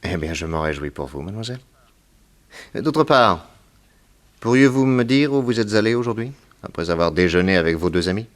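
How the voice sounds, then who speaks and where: An actor playing an authoritative detective in a radio drama, formal, probing and insistent. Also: male voice, fairly low pitch, expressive, brisk, pauses often, lightly acted. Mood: courteous, suspicious. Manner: commanding, formal, insistent questioning. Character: authoritative male American detective, brisk, probing and courteous.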